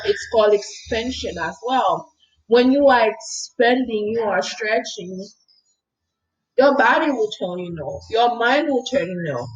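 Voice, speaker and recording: Healer, woman, higher register, soft lilting tone, emphatic motivational storytelling, faint background noise. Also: muffled recording. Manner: earnest and emphatic, often checking in with "okay?". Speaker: spiritual healer and mindset coach. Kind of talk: motivational talk with personal story.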